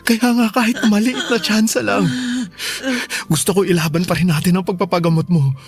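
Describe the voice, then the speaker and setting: A man's voice: high-pitched, fairly even intonation, normal speed. A man acting a devoted, distraught husband and father in a Filipino radio drama, pleading emotionally and stammering. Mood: desperate, insistent. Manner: emotional, stammering, repeats himself.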